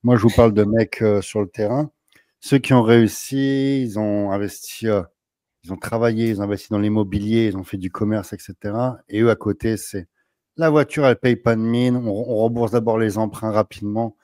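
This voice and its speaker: A man's voice: on the low side, fairly even intonation, brisk, mellow, no room sound. A man interviewing a guest, talking emphatically and addressing the viewers directly with motivational advice. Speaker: interviewer, business coach.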